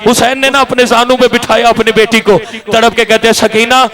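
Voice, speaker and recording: A man's voice: very high voice, completely flat delivery, a little fast. A man delivering an impassioned mourning sermon, telling the story in a wailing, declamatory voice and calling out to the listeners. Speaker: zakir reciting a majlis. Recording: live gathering crowd noise. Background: mourning crowd.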